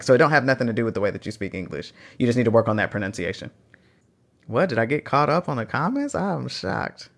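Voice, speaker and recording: A man's voice: fairly low pitch, fairly bright tone. A man with a Texan accent hosting a live phone stream, reading out chat questions and encouraging language learners. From Texas. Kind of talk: casual livestream Q&A.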